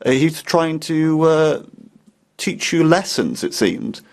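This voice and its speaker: A man's voice: fairly high pitch, big pitch swings, slow. A man interpreting a parliamentary speech in a firm, pointed way. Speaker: simultaneous interpreter.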